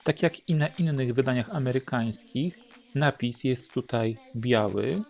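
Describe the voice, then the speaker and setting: A man narrating an unhurried show-and-tell as host, in a measured, explanatory way. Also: mid-range voice, vocal fry, soft background music. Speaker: host presenting a record collection.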